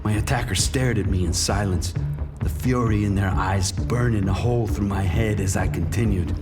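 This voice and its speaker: An actor playing a wounded, proud and desperate drug dealer in a dramatic first-person noir crime audio drama. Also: male voice, on the low side, steady tone, moderate pace, recorded from a distance, lightly acted. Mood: grim, tense. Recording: studio voice under prominent music.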